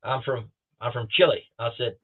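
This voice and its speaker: A man spins rambling, animated stories from his early pilot training, often restarting his sentences and acting out what people said. He speaks at an everyday mid pitch. His voice comes through a thin, phone-like remote call line. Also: moderate pace, breathy edge. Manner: rambling, animated, with many restarts and acted-out quotes. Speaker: guest pilot telling stories. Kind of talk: casual storytelling on a talk show.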